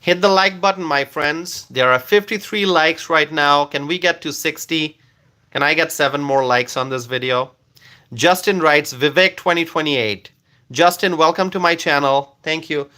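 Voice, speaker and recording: A man's voice: a little high, moderate pace, pushed and bright. A man whose first language is Bengali, hosting a casual live stream, reading viewer comments aloud and thanking people by name, with frequent fillers.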